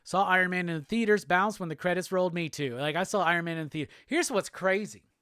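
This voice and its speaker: High-voiced Southern man chatting. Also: fast talker, clean tone, no room sound, clean studio sound. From Alabama, US South. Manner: relaxed, rambling, answers chat comments with fillers. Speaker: host. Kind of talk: casual live-stream chat.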